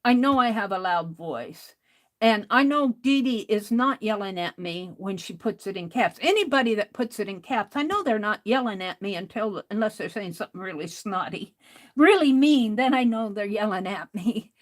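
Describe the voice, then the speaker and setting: A woman hosting an art livestream, talking casually to chat in a loud voice, rambling and restarting her sentences. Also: medium pitch, expressive, moderate pace.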